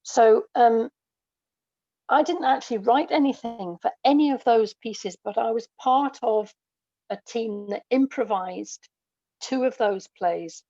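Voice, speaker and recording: A woman's voice: on the high side, a little slow, warm tone, dry recording, muffled recording. A middle-aged woman guest, a writer and performer, telling stories conversationally in an interview. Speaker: guest, audio dramatist and performer.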